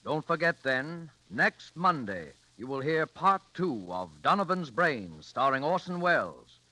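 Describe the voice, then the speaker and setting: A man's voice: a little high, sing-song, unhurried, sharp tone, no room sound, poor audio. A man announcing a 1940s radio program in a formal, dramatic manner.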